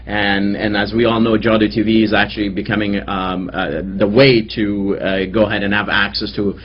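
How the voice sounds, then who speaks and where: A male TV host introduces his guest in a husky, fairly low voice. He leans on fillers and pauses as he goes. The recording sounds dull and lo-fi. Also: level tone. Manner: filler-heavy, hesitant. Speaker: TV show host.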